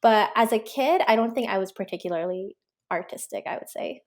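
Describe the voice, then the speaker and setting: A young woman from Pakistan, an author and illustrator, answering interview questions in a relaxed, reflective way with many ums and likes. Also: medium pitch, fairly quick. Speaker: guest, children's book author and illustrator.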